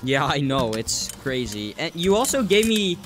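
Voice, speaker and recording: A man's voice: on the high side, measured pace, over music. A young man streaming a VR game live, chatting casually with viewers while he plays. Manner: relaxed, chatty, answers viewers while playing. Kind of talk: casual live gameplay chat. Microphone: desk mic, wearing a VR headset.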